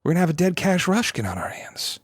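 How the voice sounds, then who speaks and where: A man playing a folksy smooth-talking hustler. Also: high-pitched, very animated, fast talker, no echo, studio quality, voice pushed up. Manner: folksy, rambling pep talk.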